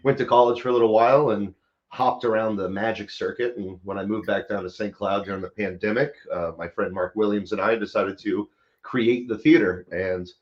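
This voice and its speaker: A man in his thirties with a fairly low voice chats casually as an interview guest. The sound is a little dull and lo-fi. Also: steady tone, fairly quick. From Florida, United States. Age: 30s. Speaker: guest, theater artistic director. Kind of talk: casual self-introduction in interview.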